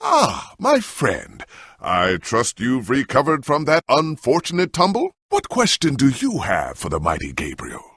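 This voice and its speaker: An actor playing a proud warrior hero in a video game, with a pompous, theatrical, commanding way of speaking. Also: male voice, medium pitch, huge pitch swings, unhurried, fairly bright tone, breathy edge, dry recording, studio quality, heavily acted. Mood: grand, rousing. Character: proud, grandiose warrior hero, an adult man. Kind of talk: dramatic game dialogue and heroic speeches.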